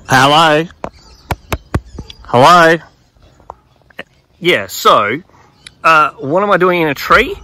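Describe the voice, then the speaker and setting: A man's voice: a little high, pushed and bright, slight background noise, exaggerated voice. A man playing an awkward, sheepish reformed bad guy who rambles with lots of fillers. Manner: rambling, hesitant, full of fillers.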